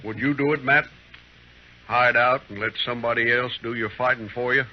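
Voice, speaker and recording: An actor playing a proud, resigned blacksmith in an old-time radio western, grave and plain-spoken. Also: male voice, medium pitch, fairly even intonation, moderate pace, natural voice. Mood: stubborn. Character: proud, resigned frontier blacksmith facing a fight. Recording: muffled, noisy vintage radio recording.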